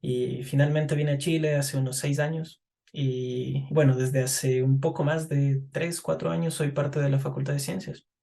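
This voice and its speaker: A man's voice: mid-range voice, fairly even intonation, moderate pace, vocal fry, mellow. A middle-aged man from Ecuador answering interview questions about his work as a chemist, thoughtful and often repeating words as he searches for them. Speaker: guest chemist.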